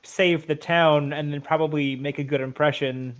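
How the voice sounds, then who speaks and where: A man's voice: a little high, steady tone, moderate pace. A man chatting casually as a player in an online tabletop game. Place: online voice call.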